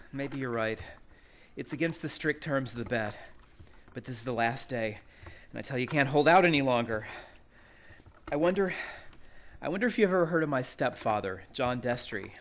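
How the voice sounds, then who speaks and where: Male actor as young chemist, medium pitch, nervous, hesitant, tormented, polite, radio drama. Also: expressive, moderate pace, long pauses, a bit bright, a little hiss or hum. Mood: weary confiding. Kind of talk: radio play acting.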